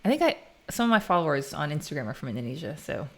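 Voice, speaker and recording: A woman's voice: sits low, big pitch swings, rapid-fire. An American woman live streaming as she codes, chatting informally and thinking aloud in a casual way. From United States. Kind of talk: casual live stream chat while coding.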